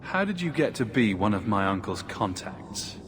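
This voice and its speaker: An actor playing a measured, direct young refugee mage, the hero of a fantasy video game. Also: male voice, on the low side, very animated, background noise. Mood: guarded, direct. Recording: loud music behind. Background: game music.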